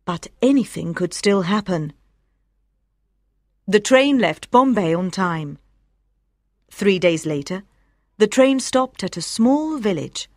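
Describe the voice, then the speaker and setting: A woman's voice: mid-range voice, dry recording, clean studio sound. A woman reading scripted story narration for language learners as an audiobook narrator.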